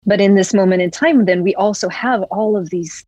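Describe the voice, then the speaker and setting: A woman's voice: medium pitch, brisk, dark tone, no room sound, highs cut off. A young woman from West Virginia, raised in Florida, talking reflectively as a podcast guest. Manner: reflective, many "like" fillers. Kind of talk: casual conversation.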